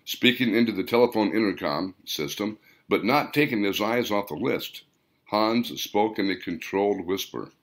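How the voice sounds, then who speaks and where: A man reading a story aloud as dramatic, theatrical audiobook narration, with deliberate delivery. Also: on the low side, animated.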